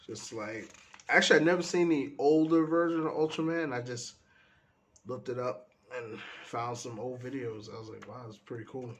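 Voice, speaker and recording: A man, stuffy from a cold, casually talking through the pages of his sketchbook in a relaxed, meandering way. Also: mid-range voice, moderate pace. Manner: relaxed, meandering, trails off often. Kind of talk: casual rambling commentary.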